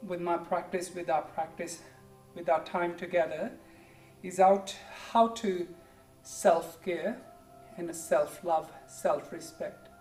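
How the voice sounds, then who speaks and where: A yoga instructor in their forties from India, with an Indian accent and English as a second language, guiding a class with gentle, encouraging cues. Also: medium pitch, fairly even intonation, slow. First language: Hindi or Punjabi. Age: 40s. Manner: gentle, encouraging, keeps saying "good", simple repeated cues. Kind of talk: guided yoga class with pep talk. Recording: echoey room, distant mic, faint music.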